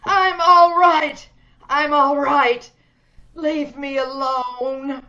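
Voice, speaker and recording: A woman's voice: high voice, steady tone, drawn-out words, pushed and bright, full character voice. A woman playing a bitter, imperious, disfigured recluse in an old-time radio drama, theatrical and despairing. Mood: anguished, angry. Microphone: video call. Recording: dull video-call audio.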